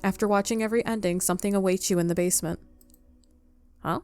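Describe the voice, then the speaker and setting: A woman's voice: mid-range voice, fairly even intonation, fast talker, a little mellow, smooth, dry recording, faint music behind. A woman giving casual let's play commentary as a game streamer, sometimes reading on-screen text aloud.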